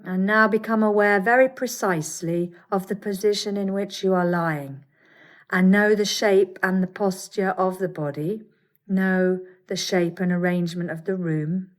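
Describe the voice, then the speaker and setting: A woman teaching yoga nidra, guiding a meditation with soothing, measured instructions and gentle pauses. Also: mid-range voice, steady tone. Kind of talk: guided meditation.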